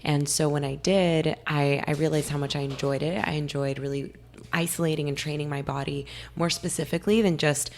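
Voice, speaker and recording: A young woman from California, slightly hoarse, talking eagerly as a podcast guest. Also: low voice, fairly even intonation, a little fast, darkish tone. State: a little hoarse. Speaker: guest nutritionist. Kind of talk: casual interview talk.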